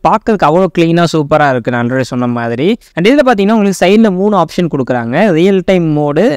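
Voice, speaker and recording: High-pitched, quick-talking young Indian Tamil man. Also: clean tone, no room sound, clean studio sound. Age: young adult. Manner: informal explainer, mixing in English words. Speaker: tech review YouTuber. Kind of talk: software tutorial narration.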